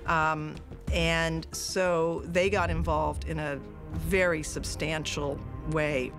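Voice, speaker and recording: Middle aged woman, film producer interview, loud music underneath. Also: low voice, level tone, slow. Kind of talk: documentary interview.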